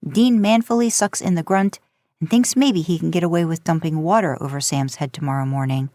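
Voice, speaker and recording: A woman narrates fiction like an audiobook. Her voice sits on the low side, and the sound is dry, without room echo. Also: brisk, mellow. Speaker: podcast host and fan fiction narrator. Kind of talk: audiobook-style story narration.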